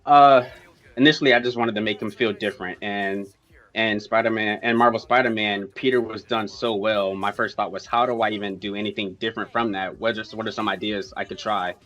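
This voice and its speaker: Man, game developer, low voice, thoughtful, restarts while explaining. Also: fairly even intonation, a little fast, fairly bright tone. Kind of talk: interview answers.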